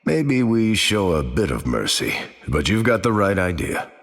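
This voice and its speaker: A steady, husky team leader. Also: male voice, low-pitched, moderate pace, in a large hall, heavily acted. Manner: calm, earnest, commanding. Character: steady, upright male veteran team leader. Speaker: video game hero.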